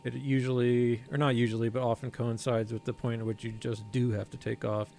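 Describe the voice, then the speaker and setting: A man's voice: medium pitch, little pitch movement, gravelly, mellow, slight background noise. A man streaming a flight sim, explaining each step in casual commentary while thinking aloud and reading out numbers carefully. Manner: reads out numbers slowly and carefully.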